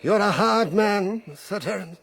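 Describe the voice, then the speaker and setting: A woman playing an excitable, grudging moneylender with a raspy, creaky voice in a period radio drama. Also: medium pitch, measured pace. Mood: grudging surrender. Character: excitable, grudging moneylender haggling over debts.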